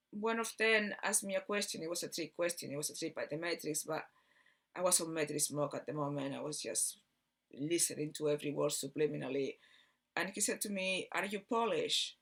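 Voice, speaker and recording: Creaky-voiced Spanish woman telling her story. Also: lowish voice, fairly bright tone. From Barcelona, Spain. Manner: halting, with repeated words and trailing thoughts. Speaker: video host telling personal story. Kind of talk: rambling personal storytelling to camera.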